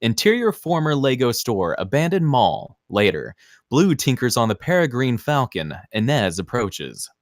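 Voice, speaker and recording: A man's voice: mid-range voice, very animated, moderate pace, dry recording, clean studio sound. A man narrating the stage directions of a screenplay at a table read.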